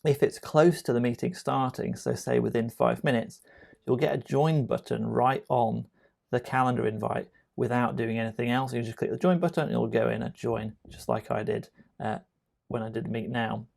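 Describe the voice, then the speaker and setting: Casting: male how-to presenter, average pitch with noticeable vocal fry, informal and explanatory delivery. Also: steady tone, normal speed, a little mellow. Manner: conversational, explanatory, addresses viewer directly. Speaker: tutorial presenter. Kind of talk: informal software tutorial narration.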